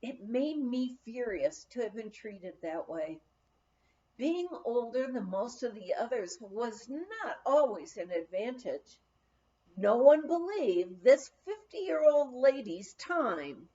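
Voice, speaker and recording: High, lively, unhurried woman reading aloud. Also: lo-fi sound. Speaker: audiobook reader. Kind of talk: scripted memoir narration, read aloud.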